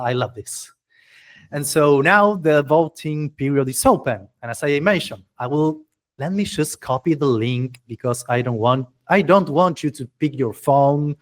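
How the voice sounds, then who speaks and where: A man hosting an informal tech community presentation, speaking enthusiastically in halting, repetitive English with non-native-sounding phrasing. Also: medium pitch. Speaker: meetup host presenting project proposals.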